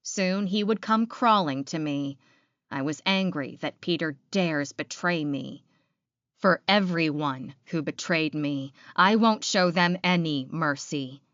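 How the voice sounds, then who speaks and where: Female audiobook narrator for first-person fiction: low register, dry and slightly muffled recording. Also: slow pace, fairly bright tone, no echo.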